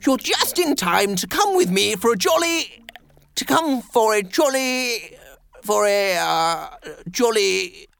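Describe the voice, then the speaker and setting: A man voicing an excitable, pompous animal gentleman from a storybook, hearty and exuberant. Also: high-pitched, slow, gravelly, smooth, no echo, voice pushed up, full character voice. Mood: cheerful, then faltering.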